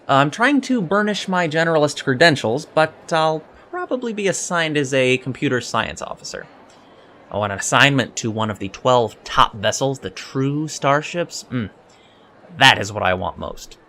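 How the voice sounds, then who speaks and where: A man's voice: mid-range voice, very animated, normal speed, pushed and bright. A man playing an earnest young alien cadet in an audio drama, speaking politely and precisely with hesitant ums. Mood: hopeful. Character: earnest young male alien Starfleet cadet, eager and scholarly. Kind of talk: audio drama interview acting.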